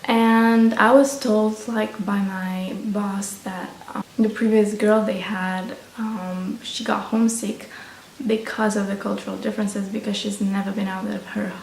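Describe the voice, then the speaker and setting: A woman's voice: mid-range voice, flat delivery, unhurried, gravelly. Vlogger, young adult woman, Romanian accent, casual rambling delivery, recorded roomy and distant with faint noise. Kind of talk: casual chat to camera.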